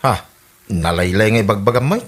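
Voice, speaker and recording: A woman's voice: a bass voice, a little fast. A woman playing a sceptical, needling Ilocano husband in a comedy radio drama. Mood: disapproving.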